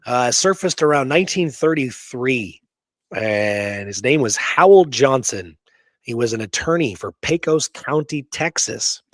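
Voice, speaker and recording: A man co-hosting a casual history discussion, telling the story in an animated way with rhetorical questions. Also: mid-range voice, a little slow, no echo. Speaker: podcast co-host presenting history research.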